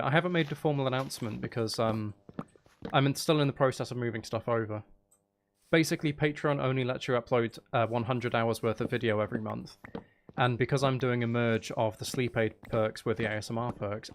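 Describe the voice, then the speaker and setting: A man's voice: medium pitch, a little hiss or hum. A man live streaming in a casual, chatty way, with dry, teasing humour while he plays a game.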